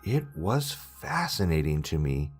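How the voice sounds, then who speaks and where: A man narrating scripted paranormal stories as a channel host, with a deliberate, dramatic storyteller delivery. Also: a bass voice, very animated, slightly rough, soft background music.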